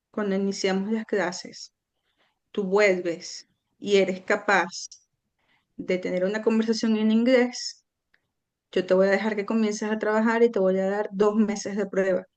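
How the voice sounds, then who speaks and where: A woman's voice: mid-range voice, fairly even intonation, slow pace, long pauses. A middle-aged woman from Venezuela, a guest recounting her own story in an earnest, reflective way with frequent hesitations. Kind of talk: personal storytelling in an interview.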